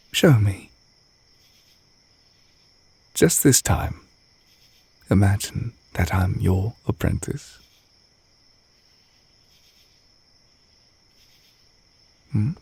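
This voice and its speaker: A man acting a gentle, adoring witch boyfriend in an intimate ASMR roleplay, soft-spoken and tender with a light teasing manner. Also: lowish voice, vocal fry, slightly rough, no room sound, full character voice. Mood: playfully teasing.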